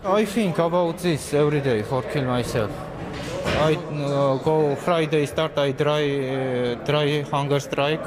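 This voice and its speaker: A man, a prison inmate, speaking in halting, broken English. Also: fairly high pitch, crowd noise, rough recording.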